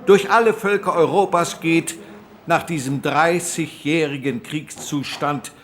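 An earnest postwar male parliamentarian, orating slowly in a raised pitch. The delivery is formal and declamatory, as in a radio docudrama. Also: fairly bright tone, a little hiss or hum. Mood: earnest, urgent. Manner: declamatory, deliberate. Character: earnest postwar conservative parliamentarian giving a speech.

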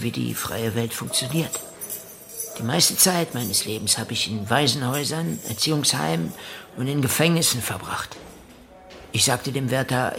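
An actor playing a drifting, self-absorbed ex-convict musician and cult leader, reminiscing in a radio play. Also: male voice, medium pitch, vocal fry, pushed and bright, a little hiss or hum. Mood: weary, reflective. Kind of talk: German radio play monologue. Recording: music behind the voice.

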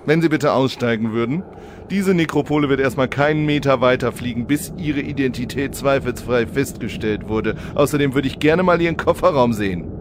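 A man's voice: medium pitch, a little fast, natural voice. An actor playing a dry, officious traffic policeman with formal, bureaucratic manners in a comic radio play. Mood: suspicious.

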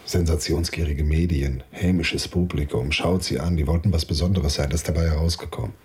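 An actor playing an injured, cynical middle-aged minstrel in a radio drama, with a husky voice and a wry, groaning manner. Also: male voice, very deep voice, steady tone, brisk, a little mellow, heavily acted. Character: injured, cynical medieval-town minstrel man, no longer young.

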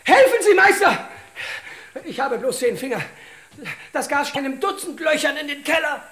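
A man's voice: very high voice, animated, moderate pace, pushed and bright, full character voice. An actor playing a wry, chatty detective's sidekick in an old radio crime play, deferring to his boss in dryly ironic, stilted phrases. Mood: alarmed, sardonic.

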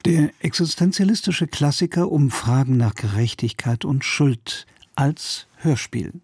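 A man presenting a radio play with a formal, scripted introduction and deliberate phrasing. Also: mid-range voice, expressive, a little mellow, husky, no echo.

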